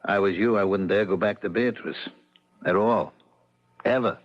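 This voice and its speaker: A male actor plays a sardonic foreman in vintage radio comedy. His voice is very deep and his delivery laconic, grumbling and deadpan. The old recording sounds dull. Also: moderate pace, loud music behind the voice, close to an everyday voice. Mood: dry, teasing.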